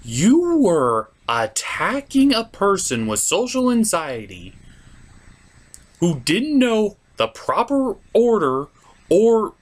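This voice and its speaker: Male online reviewer, slightly high-pitched, highly animated delivery; exasperated, emphatic, sweary rant style. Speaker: online cartoon reviewer and host. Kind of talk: ranting review commentary with profanity.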